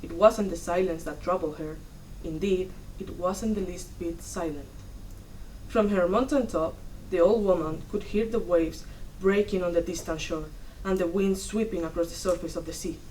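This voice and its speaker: A young woman performs a dramatic story reading in a fairly low voice. She goes deliberately, phrase by phrase, like a stage storyteller. The mic is some way off, and faint noise sits behind her. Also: darkish tone. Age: young adult. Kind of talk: dramatic storytelling read from a script. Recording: distant mic, noisy room.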